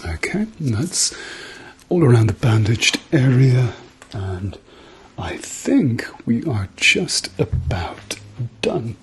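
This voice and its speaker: Middle aged guy doing asmr doctor roleplay, slow n creaky, reassuring, bit of noise and clicks behind him. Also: mid-range voice, big pitch swings. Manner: reassuring, murmured repeated encouragement. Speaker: ASMR role-play performer playing a doctor. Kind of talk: ASMR medical role-play, softly spoken.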